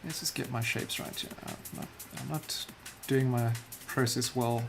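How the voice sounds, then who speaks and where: A man's voice: mid-range voice, steady tone, moderate pace, gravelly, music in the background. A South African man chatting casually while livestreaming a digital painting, muttering self-deprecating remarks to himself as he works.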